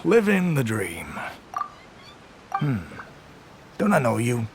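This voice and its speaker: A man's voice: medium pitch, wildly swooping pitch, slow pace, noisy background. A man playing a sleepy, laid-back soldier in a video game, with a languid, drowsy delivery. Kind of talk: video game character acting.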